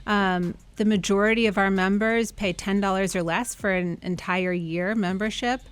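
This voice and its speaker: Woman, mid pitch, friendly, clear explainer, interview guest. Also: fairly even intonation, normal speed. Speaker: executive director of a nonprofit. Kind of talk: interview answers.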